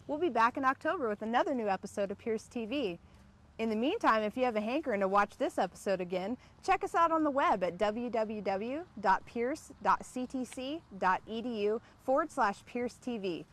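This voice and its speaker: Female TV host with a higher voice. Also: lively intonation, a little fast, a bit bright. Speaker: TV show host. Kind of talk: scripted presenting, show sign-off.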